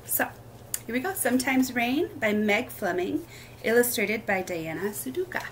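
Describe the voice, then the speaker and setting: A woman hosting a children's story time, reading a picture book aloud expressively in short, deliberate phrases. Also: on the high side, lively intonation, slight background noise.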